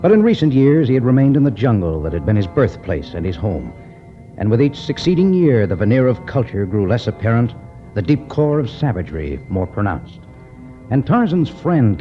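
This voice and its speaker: A man's voice: lowish voice, sing-song, brisk. A man giving grave, dramatic scripted narration for a 1950s radio serial. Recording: dull 1950s radio recording. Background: faint music.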